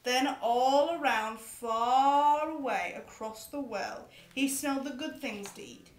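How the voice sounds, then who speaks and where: Slow, high-voiced woman reading, put-on English accent. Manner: playful, drawn-out, dramatic delivery. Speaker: bedtime story reader. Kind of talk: slow theatrical storybook reading. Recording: echoey room, home recording.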